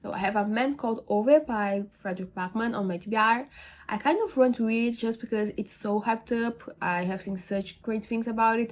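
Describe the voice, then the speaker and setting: A woman's voice: a little high, darkish tone. Chatty woman, English as second language. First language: not English. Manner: rambling, self-correcting, many fillers. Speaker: book vlogger. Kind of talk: casual book review monologue.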